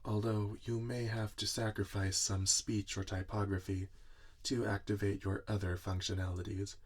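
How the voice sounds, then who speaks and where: A man playing a gentle, weary inventor and doting father in a soft-spoken ASMR roleplay, musing tenderly to his robot. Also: lowish voice, little pitch movement, natural voice. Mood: wry, musing. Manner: slow, tender, musing, talking to a robot listener.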